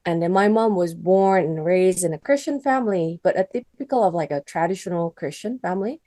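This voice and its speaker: Indonesian lady telling her story in english, low warm voice, lots of restarts, dry sound. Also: dry recording. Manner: earnest, halting, with many restarts. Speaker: interview guest, country director. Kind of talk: personal testimony in an interview.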